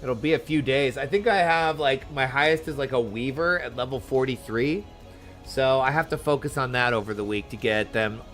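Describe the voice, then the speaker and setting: A man's voice: mid-range voice, normal speed, a bit bright. A man chatting casually while live streaming a game, giving loose commentary as he plays. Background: game music.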